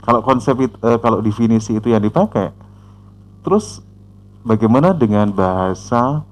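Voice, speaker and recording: A man hosting a reaction channel who comments on a video in a measured, explanatory way, often pausing with "eh" and tagging sentences with "ya". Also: lowish voice, darkish tone. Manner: measured, explanatory, frequent "eh" fillers and "ya" tags.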